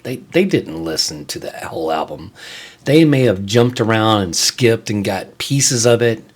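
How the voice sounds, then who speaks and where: A man with a fairly low-pitched voice talks as the host of a casual solo show. His delivery is unscripted and conversational. He halts and repeats words as he goes, then puts emphasis on his key points. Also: lively intonation, a little slow, slightly rough. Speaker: music show host and reviewer.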